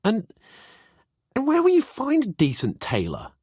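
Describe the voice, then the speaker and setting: An actor playing a proud, hot-headed young nobleman in a video game, with a theatrical, sharp-tongued manner. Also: very high-pitched, wildly swooping pitch, unhurried, slightly rough, dry recording, clean studio sound, full character voice. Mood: haughty, scornful. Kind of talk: dramatic video game voice acting.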